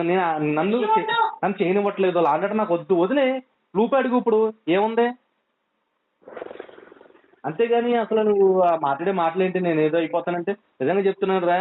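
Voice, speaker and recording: Rapid high-pitched man on tinny phone. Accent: coastal Andhra Telugu. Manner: insistent, admonishing, repeats himself. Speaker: friend on a phone call. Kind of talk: urgent, pleading phone conversation. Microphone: telephone. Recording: tinny narrowband phone call.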